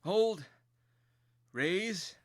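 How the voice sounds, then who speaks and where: Man acting a shouting, desperate toy-soldier lieutenant. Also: high voice, lively intonation, drawn-out words, no room sound, studio quality, pitched above the performer's own voice, exaggerated voice. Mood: commanding. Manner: urgent, shouting. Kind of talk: dramatic screenplay reading.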